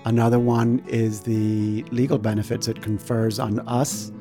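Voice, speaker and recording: A man's voice: mid-range voice, little pitch movement, a little slow, soft background music. A man born in Cuba telling his own story in a good-humored way for a podcast. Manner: warm, good-humored, laughs lightly. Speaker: interviewee. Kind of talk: personal storytelling.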